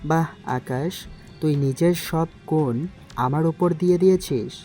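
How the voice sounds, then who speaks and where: A man playing a calm, cryptic young secret gang boss in an audio story, in a mellow voice. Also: fairly high pitch, a little slow, faint music behind, close to an everyday voice. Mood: cold, reproachful.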